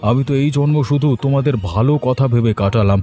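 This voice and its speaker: An elderly village landowner acted by a man in a cartoon tale. He is mild and wounded, forever placating. The voice is mellow and middling in pitch, with music behind. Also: brisk, lightly acted. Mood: hurt, placating. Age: older. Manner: placating, protesting innocence. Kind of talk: cartoon story dialogue. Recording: music under the voice.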